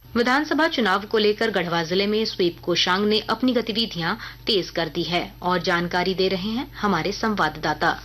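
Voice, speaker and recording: A woman's voice: mid-range voice, fairly bright tone. Woman reading a formal radio news bulletin. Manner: formal, measured announcing. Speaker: news reader.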